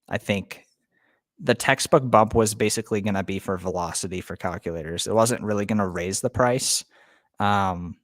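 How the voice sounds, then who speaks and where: A man's voice: sits low, no room sound, studio quality. Laid-back young man hosting a live stream. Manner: relaxed, many ums, greets chat viewers. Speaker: livestream host. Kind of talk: casual live stream chat. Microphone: webcam setup.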